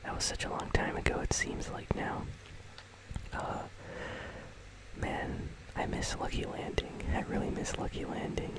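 Hushed ASMR whisperer hosting gaming commentary. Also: measured pace, rough recording. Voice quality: breathy, unvoiced whisper. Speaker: host of a gaming channel. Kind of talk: ASMR whispered commentary on a game item shop.

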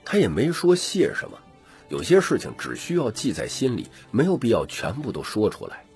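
A man's voice: mid-range voice, wildly swooping pitch, moderate pace, a little mellow, faint music behind. A man reading dramatic scripted fantasy narration as an audiobook narrator.

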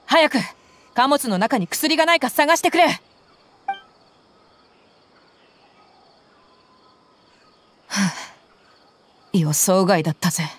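A woman's voice: fairly high pitch, very animated, normal speed, a bit bright. An actress playing a tough, confident young woman mercenary in a Japanese anime game, with blunt, intimidating phrasing. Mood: alarmed, then rueful, serious.

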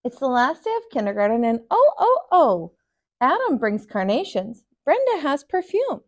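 An American woman in her thirties, a teacher reading a picture book aloud to children in an expressive, sing-song way. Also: high-pitched, moderate pace. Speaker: kindergarten teacher, storyteller. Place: small furnished room, indoors.

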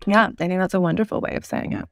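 A woman in her thirties explaining ideas as an interview guest, articulate and measured, with polished professional phrasing. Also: fairly low pitch, steady tone, vocal fry, no echo. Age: 30s. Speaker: podcast guest.

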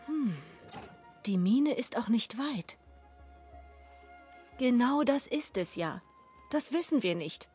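An actress playing a worried, dutiful regent in a fantasy video game, speaking formally and earnestly. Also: female voice, a little high, animated, slow pace, pauses often, darkish tone, husky, soft background music, exaggerated voice. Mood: troubled, apologetic.

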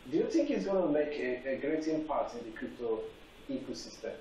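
A young man hosting a televised panel discussion, halting with many fillers. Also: medium pitch, lively intonation, lo-fi sound. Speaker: TV panel anchor. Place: TV studio set. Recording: echoey room, faint noise, far from mic.

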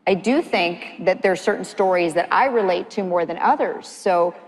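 A woman in her forties leads a stage panel with lively, gesturing chatter. Her voice sits a bit low and rings out into a large hall, with a faint hum behind. She speaks like a practiced anchor. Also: moderate pace. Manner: animated, gesturing, chatty with fillers. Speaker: panel moderator, news anchor. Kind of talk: conversational panel talk. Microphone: lapel mic. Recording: long hall reverb, faint room noise.

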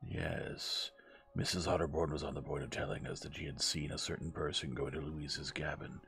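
Man playing a stern, low-voiced investigator. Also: fairly even intonation, moderate pace, a bit bright. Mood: grim. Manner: clipped, stern, no-nonsense. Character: terse, commanding male investigator grilling a suspect.

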